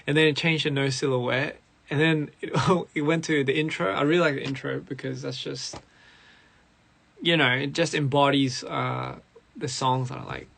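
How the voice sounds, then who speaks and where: A young man with a raspy, hoarse voice, chatting casually as a musician on a live stream and switching between English and Korean. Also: medium pitch, steady tone, normal speed, fairly bright tone. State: hoarse after a recording session.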